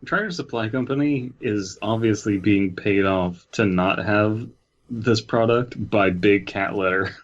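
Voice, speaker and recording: A man's voice: on the low side, a little slow, highs cut off. A man co-hosting a podcast, making dry joking asides.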